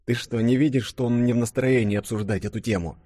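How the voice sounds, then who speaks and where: A man's voice: medium pitch, fairly even intonation, fast talker, mellow, no room sound, clean studio sound, pitched above the performer's own voice, natural voice. A man voicing a wry, sarcastic young man with a light, ironic manner in an audiobook.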